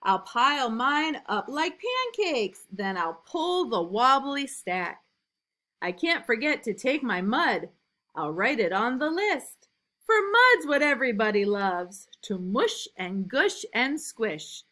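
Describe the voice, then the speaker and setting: A woman reading a rhyming storybook as an eager, imaginative little boy, in an emphatic sing-song with drawn-out line endings. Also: fairly high pitch, sing-song, bright, forceful tone, full character voice. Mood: eager, excited. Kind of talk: rhyming storybook read aloud.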